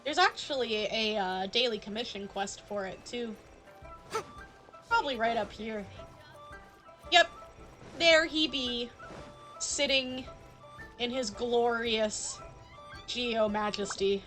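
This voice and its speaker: A woman's voice: a little high, slow, pauses often, a bit bright. A woman live-streaming a game, giving casual, playful, chatty commentary. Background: faint game music.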